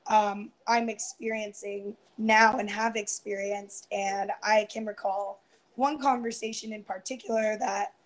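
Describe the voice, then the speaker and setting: Soft, hesitant young woman losing her voice. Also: mid-range voice, level tone, a little slow, low-quality recording. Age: 20s. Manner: soft-spoken, hesitant, many ums and restarts. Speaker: guest on a live round-table stream. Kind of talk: casual personal sharing. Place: indoors, home room. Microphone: earphone headset on a video call.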